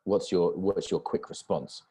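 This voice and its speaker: Deep-voiced, slightly husky male podcast host. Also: steady tone, measured pace, warm tone. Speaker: podcast host interviewing a guest. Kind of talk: casual conversational interview.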